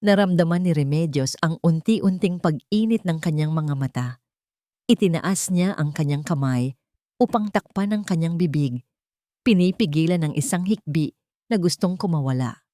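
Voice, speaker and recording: A woman storyteller narrating a scripted romantic drama in an expressive, measured way. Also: sits low, no room sound, clean studio sound.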